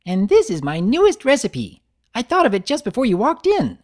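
A quick-witted, bubbly young female cartoon pony who works in a bakery, as a man acts her out. The voice is pitched high and moves around eagerly. The manner is perky. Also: wildly swooping pitch, a little mellow, heavily acted.

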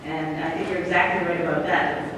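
High-voiced man asking from the audience. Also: level tone, brisk. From Syracuse, New York. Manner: polite, talkative, hesitant. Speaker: audience member asking a question. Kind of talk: conference Q&A question. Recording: far-off mic, echoey hall, background noise.

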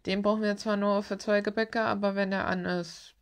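A woman's voice: medium pitch, flat delivery, normal speed. A young woman chatting casually in an informal, playfully ironic way, as a vlogger narrating over a drawing video. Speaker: vlogger and illustrator.

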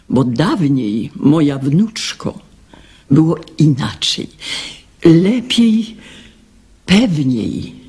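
An actress playing a fretful, nostalgic blind old grandmother in a radio drama, with a husky, aged voice and a lamenting, admonishing manner. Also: on the low side, darkish tone, heavily acted.

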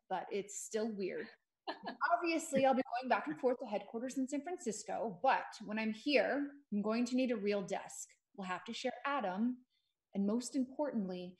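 Actress as a new lady boss trying hard to sound in charge, earnest, mid pitch, comedy read. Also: close to an everyday voice. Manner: earnest, self-important, faltering. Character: eager, insecure new supervisor rehearsing her authority. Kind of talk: comedy script table read. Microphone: video call.

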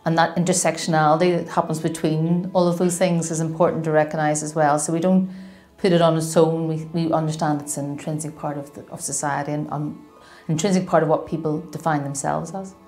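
Middle-aged woman, charity boss, quite low voice, explaining stuff, faint music. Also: flat delivery, fairly quick, darkish tone. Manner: earnest, explanatory. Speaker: chief executive of an anti-discrimination charity. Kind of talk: interview. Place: indoors in front of a logo backdrop.

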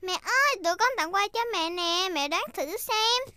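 Very high-pitched little piglet girl. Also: expressive, moderate pace, sharp tone, no echo, clean studio sound, full character voice. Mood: eager, playful. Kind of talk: Vietnamese cartoon dub. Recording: music under the dialogue.

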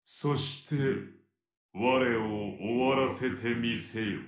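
A man voicing a serpent demon king from a fantasy game, ancient and imperious, speaking very slowly in archaic words. His voice carries a roomy echo. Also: medium pitch, fairly even intonation, lightly acted. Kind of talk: video game story dialogue.

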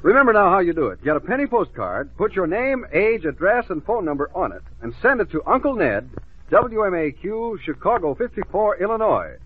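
Dull vintage radio, American male announcer, higher-pitched, lively intonation, a bit husky, scripted 1950s delivery. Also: a little fast. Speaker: radio announcer and co-host of a children's show. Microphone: radio studio microphone.

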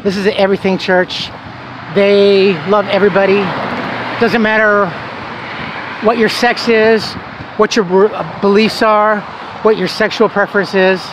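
A man's voice: high voice, little pitch movement, unhurried. A man chatting casually as he films a walking tour of his neighborhood, rambling with fillers as he goes. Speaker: vlogger giving a walking tour. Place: outdoors on a residential street. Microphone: handheld camera, filming as he walks. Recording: outdoor noise and passing traffic. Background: traffic and street ambience.

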